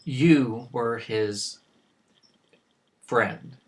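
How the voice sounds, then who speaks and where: Man playing a stern, defensive middle-aged father. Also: mid-range voice. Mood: subdued. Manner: defensive, halting. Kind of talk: dramatic dialogue acting.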